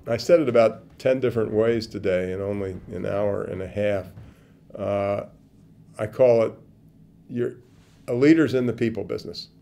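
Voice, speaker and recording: An older man answering interview questions in a casual, animated way, on a lapel mic at an indoor event. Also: fairly low pitch, moderate pace, gravelly. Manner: animated, gestures with hands, swings from quiet to loud. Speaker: interviewee, business speaker. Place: indoor event interview corner before a branded backdrop.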